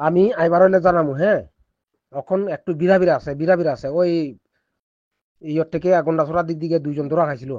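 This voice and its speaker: A man's voice: fairly high pitch, fairly even intonation, fairly quick, pauses often, a little mellow, no echo. A man with a Sylheti-influenced eastern Bengali accent explaining informally on a phone call, repeating himself and checking he is understood. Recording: dull recorded phone call.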